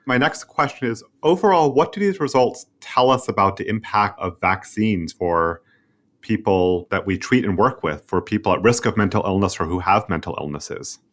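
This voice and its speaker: Deep-voiced male podcast interviewer. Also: moderate pace. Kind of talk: hosting a medical journal interview.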